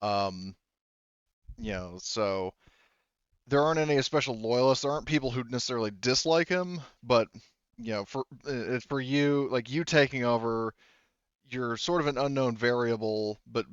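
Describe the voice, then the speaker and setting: A man speaking as game master of a tabletop roleplaying game, halting as he thinks aloud. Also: mid-range voice, a bit bright, smooth, no room sound, lo-fi sound.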